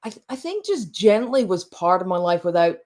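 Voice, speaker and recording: A woman's voice: lowish voice, big pitch swings, darkish tone. A woman in her late forties from Scotland, a coach speaking reflectively as an interview guest, with frequent restarts. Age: late 40s. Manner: reflective, halting, many restarts. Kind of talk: conversational interview.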